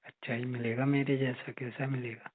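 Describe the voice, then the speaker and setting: A man's voice: mid-range voice, level tone, moderate pace, a little hiss or hum, close to an everyday voice. A man playing an easygoing, flirtatious married brother-in-law, chatting casually on a muffled phone call recording. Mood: reassuring.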